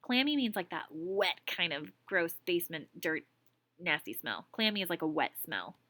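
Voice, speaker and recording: A woman's voice: on the low side, big pitch swings, moderate pace, bright, forceful tone, no echo. A woman teacher reads a story aloud to her class. She keeps stopping to explain words and ask checking questions.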